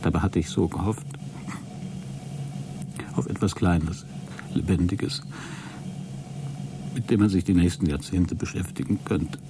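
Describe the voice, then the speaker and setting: A man's voice: sits low, steady tone, measured pace, long pauses, noisy background. An actor playing an embittered, self-important man in a radio play, speaking his log in a pedantic, formal, cold manner. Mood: resigned, pedantic. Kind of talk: German radio play acting, spoken logbook monologue.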